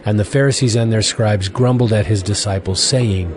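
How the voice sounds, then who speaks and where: Low-voiced male scripture narrator. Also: normal speed, crowd noise. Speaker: Bible narrator. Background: music.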